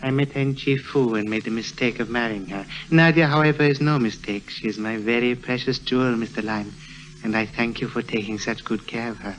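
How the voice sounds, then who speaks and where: Actor as a courtly old warlord general. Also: male voice, mid-range voice, steady tone, a little fast, fairly bright tone, close to an everyday voice, rough recording. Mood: courteous. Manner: ceremonious, formal, courteous. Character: courtly, dignified older Chinese warlord general, doting father. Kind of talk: 1950s radio drama acting. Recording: vintage radio drama recording.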